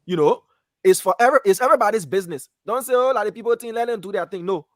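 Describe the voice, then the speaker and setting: A man's voice: high voice, animated, very fast, no room sound, clean studio sound. A man who firmly explains procedures to the panel. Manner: explanatory, firm, lecturing tone. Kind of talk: panel discussion.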